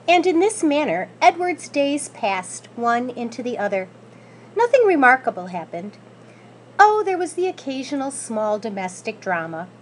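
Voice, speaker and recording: A woman reading a children's story aloud in an expressive, scripted narrating style. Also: a little high, normal speed, a little hiss or hum, poor audio. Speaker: school staff member reading aloud.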